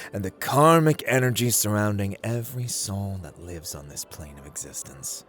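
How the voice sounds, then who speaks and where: A man playing a playful, arrogant god of luck in an ASMR roleplay, with a teasing, drawling, condescending manner and dramatic pauses. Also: fairly low pitch. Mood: condescending, musing. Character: playful, arrogant god of luck, amused and dangerous gambling master. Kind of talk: ASMR roleplay, theatrical monologue to listener.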